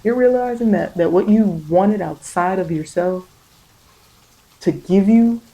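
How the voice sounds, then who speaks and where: Soft-spoken woman tarot reader, dark mellow voice. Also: medium pitch, poor audio. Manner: halting, repetitive, reassuring. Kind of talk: soft ASMR tarot reading, spiritual guidance.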